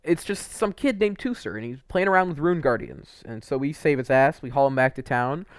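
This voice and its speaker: A man's voice: mid-range voice, animated, brisk, smooth, no echo, studio quality. A young man giving casual, unscripted video game commentary in an informal, enthusiastic way, with some mild swearing.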